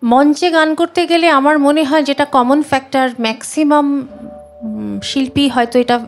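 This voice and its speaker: A woman's voice: sits high, normal speed, soft background music. A woman, answering interview questions in an earnest, emphatic, conversational way. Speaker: singer, interview guest.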